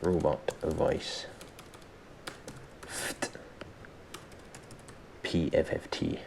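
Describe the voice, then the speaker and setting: A man presenting a casual software tutorial, thinking aloud in an informal, self-deprecating way, with some background noise in the recording. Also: rumbling low, flat delivery, extremely slow, creaky.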